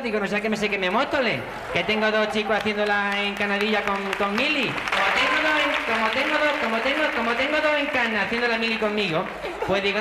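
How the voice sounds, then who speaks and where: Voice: medium pitch, close to an everyday voice. A performer playing a middle-aged woman phoning in who rambles and repeats herself in muddled loops. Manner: rambling, repeats and mixes up her own words. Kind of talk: comedy sketch phone call. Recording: echoey and noisy.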